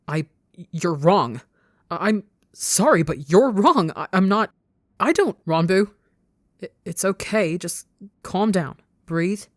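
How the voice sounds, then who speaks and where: A woman's voice: fairly low pitch, unhurried, dry recording, studio quality, close to an everyday voice. A woman voicing a hot-tempered but guilt-ridden young man in a dramatic story reading, stammering in broken restarts. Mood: anxious, placating. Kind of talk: dramatic audiobook-style character dialogue.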